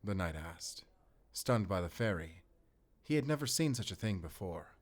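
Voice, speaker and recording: A mysterious werewolf hunter for a cinematic roleplay. His voice sits low, and he talks softly with dry, teasing wit. He comes across as protective, like someone keeping night watch and telling bedtime stories. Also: animated, normal speed. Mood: hushed storytelling. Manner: soft-spoken teasing, switching between English and German. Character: mysterious German-speaking male werewolf hunter, wry and protective. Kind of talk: cinematic audio roleplay, intimate bedtime storytelling.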